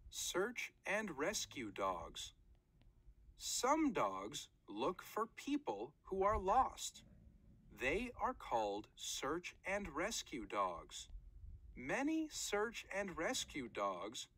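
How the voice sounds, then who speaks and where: A woman's voice: lowish voice, wildly swooping pitch, very slow, fairly bright tone. A woman reading a children's nonfiction book aloud in short, careful phrases, like a read-aloud narrator.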